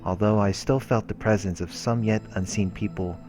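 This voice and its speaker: A man hosting a let's play, reading visual novel narration aloud in a measured way. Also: fairly low pitch, fairly even intonation. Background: game background music.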